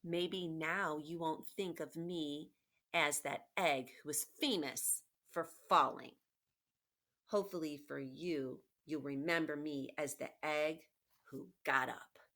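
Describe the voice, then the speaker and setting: A woman reading the part of an earnest, determined storybook egg telling his own story, with deliberate, emphatic phrasing. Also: low-pitched, fairly even intonation, bright, forceful tone, heavily acted. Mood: proud, hopeful. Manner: deliberate, emphatic storybook delivery with drawn-out key words.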